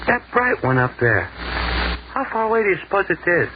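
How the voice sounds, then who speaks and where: A man's voice: high voice, big pitch swings, a little fast. A man playing a plain-spoken, simple army sergeant in a 1940s radio drama, talking in colloquial, clipped phrases. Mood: curious, wistful. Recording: noisy muffled 1940s radio recording.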